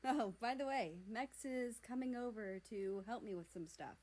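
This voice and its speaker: A woman's voice: on the high side, moderate pace, darkish tone, close to an everyday voice, poor audio. A woman voicing an eager, bashful, friendly younger brother in a story, in a bubbly way. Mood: cheerful.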